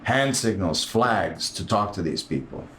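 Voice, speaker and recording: A man's voice: fairly low pitch, animated, a little slow, a bit bright, a little hiss or hum. A man chatting casually as a player in a tabletop role-playing game. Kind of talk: casual in-character game chat. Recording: echoey room.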